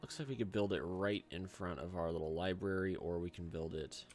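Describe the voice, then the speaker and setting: A young man with a low, rough voice, hoarse from a cold. He chats loosely through his gameplay commentary, full of ums and uhs, into a desk mic. Faint music plays under his talk. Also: steady tone, a little fast. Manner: rambling, filler-heavy and chatty. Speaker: gaming channel host.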